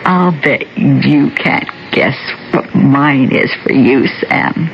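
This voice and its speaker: A woman playing a frail, devoted elderly wife in a 1970s radio drama, gentle and faltering yet hopeful and determined. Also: a bass voice, slow pace, creaky, heavily acted. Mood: fond, hopeful. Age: older. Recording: muffled old radio broadcast, faint hiss.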